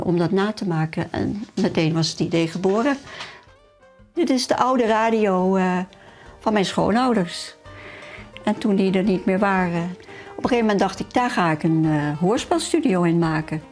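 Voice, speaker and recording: A woman's voice: medium pitch, darkish tone. A middle-aged woman talking about her hobby and memories in a personal interview, as a hobbyist. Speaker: miniature-making hobbyist being interviewed.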